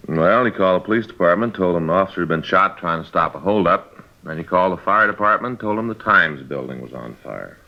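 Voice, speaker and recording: Brisk, low-voiced police detective. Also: male voice, lively intonation. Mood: matter-of-fact. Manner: matter-of-fact, brisk. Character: plain-spoken, businesslike male police detective partner. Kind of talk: 1950s radio crime drama acting. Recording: dull lo-fi old radio recording.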